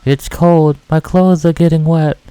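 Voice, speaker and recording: A whiny, pouty little girl of about six, as a man puts her on in a squeaky raised voice. Her lines come out high and clingy, in a childish whine. She sounds like a sulky kid from a game story. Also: steady tone, unhurried, dark tone, clear, resonant tone, dry recording, natural voice, poor audio.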